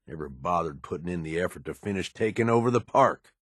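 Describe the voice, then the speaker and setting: Low-voiced, blunt raider lieutenant. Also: male voice, animated, quick pace, dry recording, studio quality. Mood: explaining, persuasive. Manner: blunt, wry, folksy swearing persuader. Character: scheming, gruff male raider gang lieutenant, middle-aged, persuasive. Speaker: video game character voice. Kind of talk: game dialogue acting.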